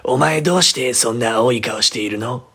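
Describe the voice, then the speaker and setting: A man voicing a sinister old witch, a sly and unhurried aunt with knowing, old-fashioned phrasing, in a horror storybook reading. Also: mid-range voice, vocal fry, a bit bright, breathy edge. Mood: curt, probing.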